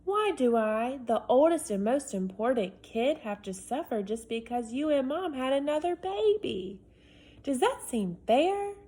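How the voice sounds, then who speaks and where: Woman reading as a whiny little kid begging his dad, slow and mellow, pitched up high. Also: sing-song, breathy edge, full character voice. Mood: pleading. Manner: whiny, pleading, overdramatic. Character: pushy boy writing letters to his dad.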